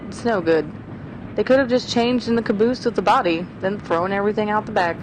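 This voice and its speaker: A woman plays a quick, determined young schoolteacher turned sleuth in a radio mystery. She reasons briskly, in a middle voice. A steady hiss runs under the noisy recording. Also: medium pitch, lightly acted. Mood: tense. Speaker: voice actor. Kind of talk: old-time radio drama acting.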